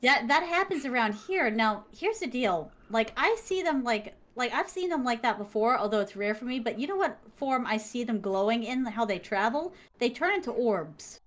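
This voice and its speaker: A woman guest chatting casually over a video call, animated and quick to laugh, acting out voices as she tells her stories. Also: fairly high pitch. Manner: laughs often, fillers like "like". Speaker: interview guest, content creator. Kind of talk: casual chat, storytelling.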